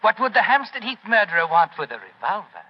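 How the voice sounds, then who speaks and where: A man's voice: sits high, sing-song, quick pace. A man acting a politely menacing, formal, gloating waxworks owner and murderer in a 1940s radio drama. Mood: gloating menace. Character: suave, sinister male waxworks owner, secretly a murderer. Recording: dull, noisy 1940s radio recording.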